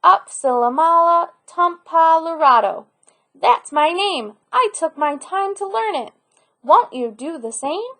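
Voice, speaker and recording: A cheerful young storybook boy played by a woman, eager and proud. His voice is very high and sing-song, and he takes his words slowly. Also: animated, a bit bright, full character voice. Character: cheerful young island boy, singing his long name.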